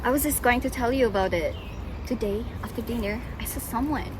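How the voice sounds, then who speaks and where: A woman's voice: a little high, fairly quick, close to an everyday voice. A woman playing a chatty, deferential working man in a stage play, rambling hesitantly. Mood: earnest. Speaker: stage actor. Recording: noisy recording with background ambience.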